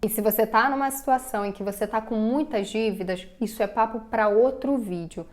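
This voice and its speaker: A woman's voice: a little high, a little fast. A woman with a Brazilian Portuguese accent giving a direct-to-camera personal finance lesson, talking straight to the viewer and acting out the viewer's doubts. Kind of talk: direct-to-camera scripted explainer.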